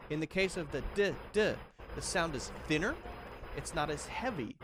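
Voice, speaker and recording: A man from the United States giving a pronunciation lesson, demonstrating the sounds out loud. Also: on the high side, normal speed, background noise. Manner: demonstrates sounds, lively delivery. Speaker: accent coach.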